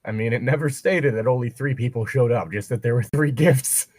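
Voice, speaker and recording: A man's voice: mid-range voice, brisk, darkish tone, breathy edge, dry recording. A man chatting casually between bits of reading fan fiction aloud, rambling and breaking off to comment or swear.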